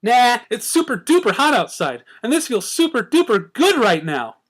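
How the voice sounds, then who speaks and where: A man's voice: very high-pitched, very animated, normal speed, fairly bright tone, voice pushed up, full character voice. A man voicing a bubbly, hyperactive young female pony with a giddy, gushing, sing-song delivery.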